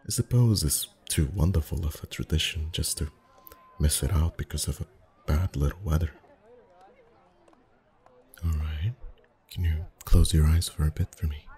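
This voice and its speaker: A man acting as a friendly festival face painter with a very deep, mellow voice. He is soft-spoken and chatty, gently teasing and flirting as he reassures his customer in an intimate ASMR roleplay. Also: moderate pace, pauses often. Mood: warm, chatty. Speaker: voice actor.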